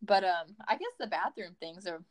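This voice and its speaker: A woman's voice: mid-range voice, animated, moderate pace. A woman interviewing a band over a remote call, chatty and upbeat, with many fillers.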